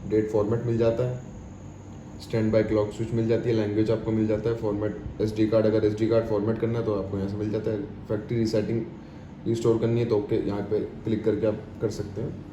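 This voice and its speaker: A man's voice: on the low side, little pitch movement, fast talker. An Indian man giving an informal step-by-step product tutorial in an explanatory, repetitive way, mixing English terms into his speech. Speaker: product demonstrator. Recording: echoey room with clear background noise.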